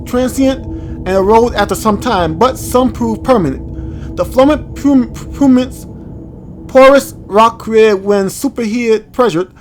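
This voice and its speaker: Male let's-play host: high-pitched, informal, off-the-cuff commentary and read-alouds, light music underneath. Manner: informal, stumbles over unfamiliar words. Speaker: gaming video host. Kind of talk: casual game playthrough commentary, reading on-screen text aloud.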